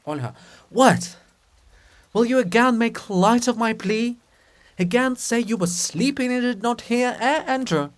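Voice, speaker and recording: A man's voice: extremely high pitch, expressive, unhurried, voice pushed up. A man voicing the monument of a proud, lovesick princess in a theatrical, pleading manner. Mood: impassioned pleading.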